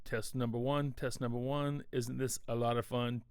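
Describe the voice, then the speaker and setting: Gravelly man doing a dry mic test. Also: medium pitch, little pitch movement, normal speed, darkish tone, dry recording. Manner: playful, singsong test patter. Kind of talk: reading a mic test sample. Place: recording studio. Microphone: tube condenser mic, five inches away.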